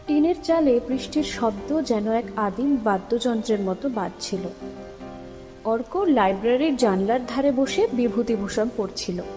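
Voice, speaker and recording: A woman narrator reading a scripted story for an audio drama. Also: on the high side. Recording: long reverb under music. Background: music.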